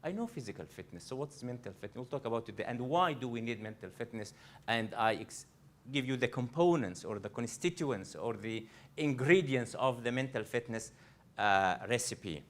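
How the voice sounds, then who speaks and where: A man's voice: mid-range voice, lively intonation, normal speed, hardly any pauses. A man from Egypt, a coach presenting a live workshop to an audience. He speaks earnestly, often restarting and repeating phrases as he addresses the room directly. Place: library event room.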